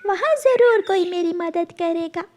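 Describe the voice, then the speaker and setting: Scared little cartoon sparrow girl, squeaky and weepy, a woman puts her on. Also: measured pace, a little mellow, a little hiss or hum. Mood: pleading. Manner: tearful, pleading.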